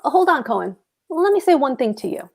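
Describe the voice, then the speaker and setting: Woman playing a pompous husband, very lively pitch. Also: a little fast, vocal fry, heavily acted. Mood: gruffly fond. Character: blustering, self-important German husband, gruffly sentimental. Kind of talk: live cold table read of a stage comedy.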